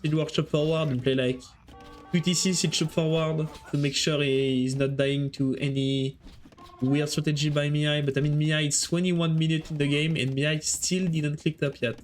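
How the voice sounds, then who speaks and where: A man's voice: fairly high pitch, flat delivery, normal speed. A man with a French accent casting a strategy game on a live stream, chatting with viewers between plays. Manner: chatty, answers chat while casting.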